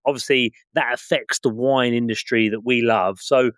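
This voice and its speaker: A man's voice: mid-range voice, fairly even intonation, normal speed, a bit bright, dry recording, studio quality. A man co-hosting a podcast, upbeat and friendly, chatting and reading out news items. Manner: friendly, upbeat, says mate often. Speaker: podcast co-host. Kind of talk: casual chat, reading news.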